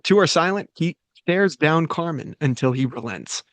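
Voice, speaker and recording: A man's voice: a little high, animated, moderate pace, fairly bright tone, no echo. A man reading the stage directions aloud at a script table read, with the odd stumble and laugh. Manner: reads stage directions with self-corrections and laughs.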